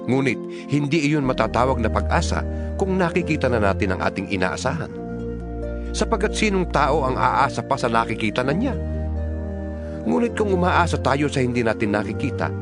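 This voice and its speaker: A man giving a solemn, declamatory dramatized reading of scripture as narrator. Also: mid-range voice.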